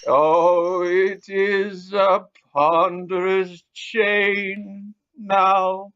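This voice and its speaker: A man's voice: sits high, little pitch movement, drawn-out words, fairly bright tone, clean tone, lo-fi sound, heavily acted. A man playing a doomed, solemn ghost who gives grave, declamatory warnings in an audio play. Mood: mournful, doomed. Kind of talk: audio play acting of a classic ghost story.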